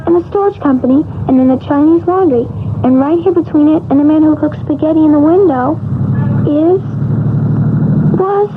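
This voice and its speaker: A woman's voice: high voice, normal speed, close to an everyday voice. An actress playing an earnest, innocent little girl in an old-time radio drama, artless and matter-of-fact. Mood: puzzled, insistent. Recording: tinny radio recording, loud noise.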